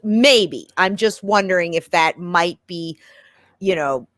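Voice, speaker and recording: A woman's voice: fairly low pitch, takes it slowly, fairly bright tone, dry recording, studio quality. A woman on a discussion panel, arguing conversationally and hedging as she reasons through her points. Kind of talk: conversational argument.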